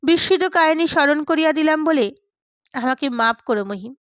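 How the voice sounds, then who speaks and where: A woman's voice: high-pitched, expressive, normal speed, a bit bright, no echo, clean studio sound. A woman voicing a passionate, stubborn young man who speaks earnestly and emphatically to his dear friend in an audiobook. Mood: impassioned, hurt.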